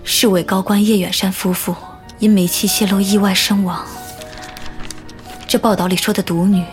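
A woman's voice: medium pitch, little pitch movement, normal speed, close to an everyday voice. Actress as devoted, self-assured lady judge, music behind. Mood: earnest. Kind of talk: modern Chinese audio drama acting.

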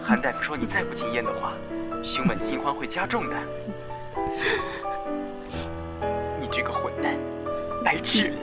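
A man playing a lovesick undercover policeman in an audio drama, confessing softly and hesitantly in an intimate tone. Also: on the high side, very animated, a little fast, creaky. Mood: tender, teasing. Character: lovesick male undercover policeman confessing on tape, tender, guilty. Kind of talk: Chinese amateur audio drama monologue. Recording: voice under loud background music. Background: loud music.

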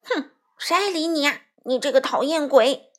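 A woman's voice: fairly high pitch, animated, normal speed, a bit bright, slightly rough. A woman voicing a bossy, boastful, hot-tempered young male bird in a children's story, with an indignant, squabbling manner. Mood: angry.

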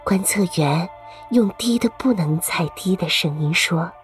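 A woman's voice: lowish voice, expressive, measured pace, soft background music. A woman reading scripted story narration for an audio drama.

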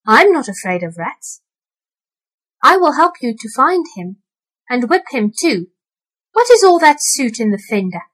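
A woman voicing a brisk, bossy female neighbour cat who speaks firmly. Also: sits high, big pitch swings, fairly bright tone, full character voice, rough recording. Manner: brisk and firm.

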